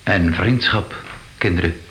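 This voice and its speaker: Self-assured grand gentleman official, lowish voice, courteous, pompous, radio drama, faint noise. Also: a little slow. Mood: grand, marvelling. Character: grandiloquent, self-assured inspector of historic monuments.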